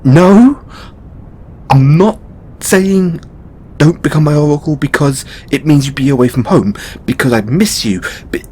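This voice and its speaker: A man's voice: measured pace, dark tone, close to an everyday voice. A man playing a flustered, tender god boyfriend in an intimate audio roleplay, stammering and repeating his words. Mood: agitated, defensive. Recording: noticeable low rumbling background noise.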